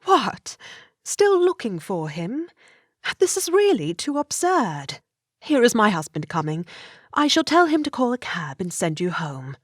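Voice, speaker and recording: Lively, scolding Victorian lady. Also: mid-range voice, dry recording, clean studio sound. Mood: exasperated. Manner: brisk, scolding, theatrical. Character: brisk, sensible married Victorian lady, lively and exasperated. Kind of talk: audiobook drama acting.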